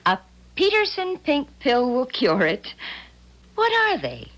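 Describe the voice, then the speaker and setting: An actress playing a sociable, teasing, curious mayor's wife in a 1970s radio drama, chatty and gossipy. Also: female voice, high-pitched, wildly swooping pitch, slow, a bit bright, heavily acted. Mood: warm, chatty. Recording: 1970s radio broadcast recording.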